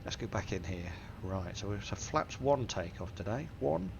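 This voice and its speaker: A man's voice: fairly low pitch, expressive, moderate pace, creaky, highs cut off. A man with a stuffy nose from a cold, methodically talking through each cockpit setting in a flight simulator tutorial. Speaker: flight sim tutorial host. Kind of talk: step-by-step cockpit walkthrough. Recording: simulator engine and cabin noise behind. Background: aircraft simulator hum.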